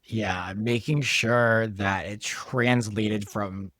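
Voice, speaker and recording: A man's voice: on the low side, steady tone, drawn-out words, a bit bright, dry recording. A man who created the show, chatting casually and explaining his process step by step. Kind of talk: casual chat.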